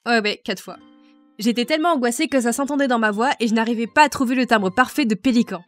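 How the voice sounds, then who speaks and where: A woman's voice: on the high side, fast talker, faint music behind. A woman who voices a part in a fan dub, answering in a chatty, self-mocking way. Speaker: fandub voice actress. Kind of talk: casual recorded answer.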